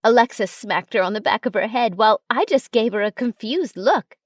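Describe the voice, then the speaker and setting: A woman reading a first-person story as a narrator, in an expressive way. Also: a little high, a little fast, dry recording, studio quality. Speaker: audiobook narrator.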